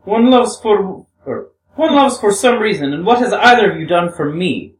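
Guy reading as a jumpy proud young woman, high and all over the place, roomy echo. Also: animated, moderate pace. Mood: heated, confessing. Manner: heated, hysterical pleading. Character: nervous proud young woman, self-accusing. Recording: echoey room, far from mic.

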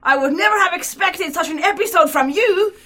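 A woman's voice: very high voice, fairly quick, noisy background, voice pushed up. A woman voicing an officious, flustered young male attorney with an urgent, formal manner, in a dramatic novel reading. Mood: alarmed protest.

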